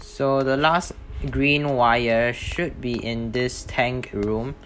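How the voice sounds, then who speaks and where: A man's voice: medium pitch, steady tone, slow pace, fairly bright tone, soft background music. A man giving a casual, step-by-step video game walkthrough in an informal, somewhat hesitant way. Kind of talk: casual game tutorial commentary.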